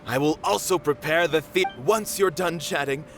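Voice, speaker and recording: An actor playing a worried, meticulous middle-aged theater manager in an anime video game, speaking in a formal, earnest, lecturing way. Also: male voice, a little high, normal speed, a bit bright, soft background music. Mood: weary, resigned.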